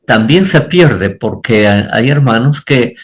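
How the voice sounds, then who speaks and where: A man's voice: mid-range voice, animated, slow pace. An older man, a pastor answering on a church panel, speaking earnestly and repeating phrases for emphasis.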